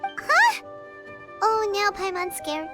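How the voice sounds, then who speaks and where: A woman voicing a tiny, childlike fairy companion, excitable and timid, with a squeaky voice in an anime-style video game. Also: slow pace, faint music behind, exaggerated voice. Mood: scared. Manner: refers to self in third person, expressive exclamations.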